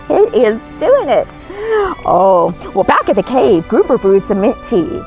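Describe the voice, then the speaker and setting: A woman telling stories to young children in an expressive, coaxing, exclaiming read-aloud manner. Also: high voice, low-quality recording. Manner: animated, exclaiming, coaxing, dramatic gasps. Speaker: costumed storyteller as Mrs. Claus.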